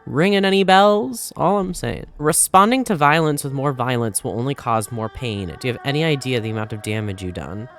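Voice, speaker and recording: A man's voice: medium pitch, expressive, fairly quick, few pauses, fairly bright tone, soft background music. A young man doing casual, slangy, offhand gameplay commentary. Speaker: gaming streamer reacting to a story quest.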